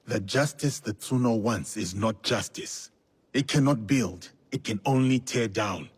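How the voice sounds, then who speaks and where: An actor playing a stern, principled samurai warrior in a video game, speaking in a measured, firm, husky voice. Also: male voice, lowish voice, dry recording. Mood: resolute, calm. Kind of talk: dramatic video game acting.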